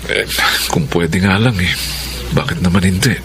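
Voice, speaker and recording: A man's voice: deep voice, normal speed, fairly bright tone, faint music behind. An actor playing a suave, earnest, smitten male teacher in a radio drama, with a Filipino accent. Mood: lovelorn.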